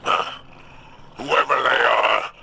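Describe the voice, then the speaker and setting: An actor playing a greedy, cynical alien crime boss with a gravelly, sneering drawl in a video game cutscene. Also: male voice, mid-range voice, flat delivery, takes it slowly, sharp tone, slight background noise, heavily acted. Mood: scornful, wary.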